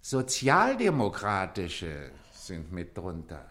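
An actor playing a servile, dutiful clerk in a German radio play, deferential, formal and halting as he reports to his superior. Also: deep voice, huge pitch swings, fairly bright tone, full character voice. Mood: hesitant, obliging.

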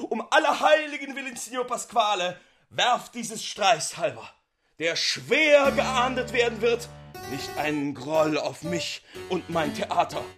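A man voicing a flattering, theatrical Italian gentleman in an effusive storybook reading, stretching out words for emphasis. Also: high voice, unhurried, music in the background, voice pushed up. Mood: anxious pleading. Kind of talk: dramatic audiobook reading.

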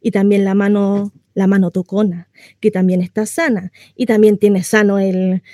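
A woman's voice: medium pitch, flat delivery, moderate pace, warm tone, dry recording, studio quality, natural voice. A woman playing a lonely, naive housewife in a theatrical radio monologue, gossipy, rambling and coyly confiding in a neighbor. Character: chatty lonely housewife, naive, insistently cheerful about her confinement.

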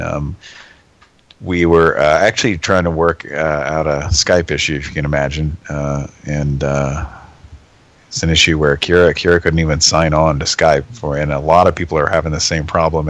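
A man hosting a live show in rambling, halting chat, stuffed up from a cold. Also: rumbling low, fairly bright tone, no echo. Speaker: host.

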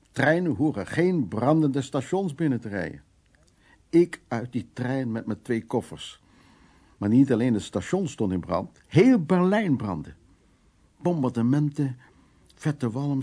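A man's voice: medium pitch, warm tone, breathy edge. An actor in a naturalistic radio play, playing a brusque middle-aged Dutch art restorer who muses and hesitates over his words. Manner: hesitant, repeating words, musing. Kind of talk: naturalistic radio drama acting.

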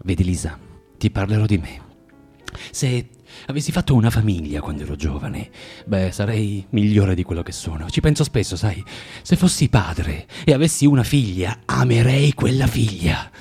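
An actor in an Italian radio drama playing a bitter, self-loathing man who lectures a young woman haltingly and theatrically, swinging from tender to vehement. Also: lowish voice, expressive, mellow, slightly rough, soft background music, exaggerated voice. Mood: grave, reflective.

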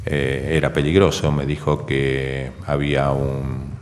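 An older Argentine man recounting memories in an interview, speaking in halting, hesitant phrases. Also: extremely low pitch, completely flat delivery. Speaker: interviewee giving testimony. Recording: long reverb, clear background noise.

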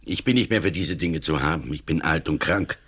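An older actor with a Swiss German accent playing a calm, stubborn, ailing police commissioner in a radio play, speaking cold and firm. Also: male voice, a bass voice, brisk, breathy edge, full character voice. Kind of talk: 1980s radio play acting.

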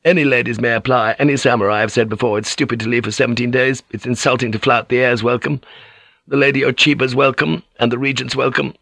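A man's voice: mid-range voice, fairly even intonation, fast, creaky, a bit bright, no echo, voice pushed up. A man voicing an arrogant, ruthless Japanese warlord general in an audiobook, with a cold, scornful manner. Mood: icy, contemptuous. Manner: cold, scornful, rhetorical questioning. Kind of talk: dramatic audiobook character voice.

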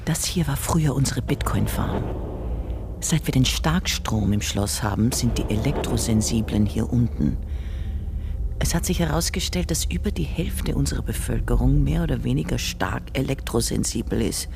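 A woman's voice: rumbling low, moderate pace, gravelly, noisy background. An actress with an Austrian accent playing an earnest, politely solemn spokeswoman of a fringe commune in a radio drama. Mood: earnest, proud.

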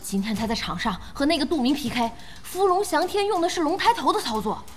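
An actress playing an excitable young female gaming fan in an audio drama, expressive and exclamatory. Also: sits high, a little fast. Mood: indignant. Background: background music.

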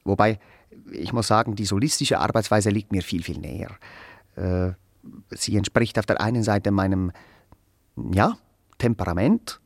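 An actor playing a pedantic, self-important musician in a radio play, wordy and hesitant, setting things out with clinical precision. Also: male voice, lowish voice, moderate pace, pauses often, dry recording. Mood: evasive, self-important. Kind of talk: naturalistic radio play dialogue.

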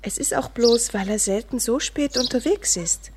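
Eine Schauspielerin spielt in einem Hörspiel ein fürsorgliches, respektvolles Hausmädchen, das besorgt und gesprächig redet. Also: female voice, fairly high pitch, moderate pace, lightly acted. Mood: solicitous. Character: kindly, fussing, deferential household maid. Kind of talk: radio drama dialogue. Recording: animal sounds and noise behind.

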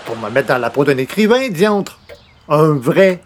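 A grandiose, touchy would-be novelist from a radio comedy, played by a man. His voice sits a little high and his delivery is emphatic and pompous. There is slight noise in the recording. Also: very animated, unhurried. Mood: exasperated. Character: grandiose, exasperated male newspaper columnist turned would-be novelist. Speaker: lead character of the comedy series. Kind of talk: old-time radio comedy acting.

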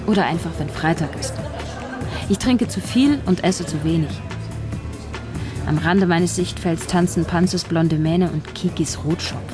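An actress playing a shy young writer who narrates her own story in a radio drama, measured, wry and observant. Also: lowish voice, music in the background, close to an everyday voice. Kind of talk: intimate first-person radio drama narration.

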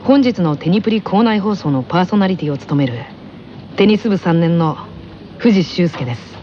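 A woman plays a teenage boy tennis player from an anime radio show, in a lowered, gentle voice. He hosts a school broadcast calmly and courteously, on a slightly dull recording with faint noise. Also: normal speed, close to an everyday voice. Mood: calm, polite. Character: calm, polite male middle-school tennis player hosting a school broadcast. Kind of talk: anime character radio segment.

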